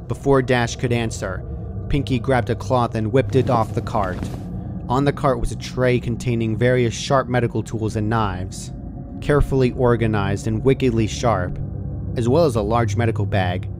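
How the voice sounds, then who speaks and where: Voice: mid-range voice, background noise. A narrator reading a scripted horror fan fiction story aloud.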